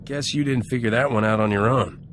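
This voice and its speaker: An actor playing a cynical, unsentimental smuggler captain in a video game, blunt and sarcastic. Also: male voice, mid-range voice, a little fast, fairly bright tone, slight background noise, lightly acted.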